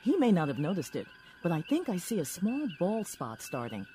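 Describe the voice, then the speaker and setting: An eager, cheeky starship lieutenant, very animated in pitch. It is comic sci-fi audio drama with faint music behind. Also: male voice, moderate pace. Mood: teasing.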